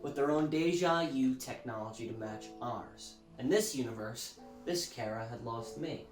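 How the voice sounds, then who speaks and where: A man acting a showy celebrity musician selling a sci-fi service in an audio drama. He gushes with self-satisfied, dramatic emphasis at a middling pitch. Music plays under his roomy, echoing voice. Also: normal speed, natural voice. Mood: gushing, grand. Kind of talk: theatrical infomercial-style pitch in an audio drama.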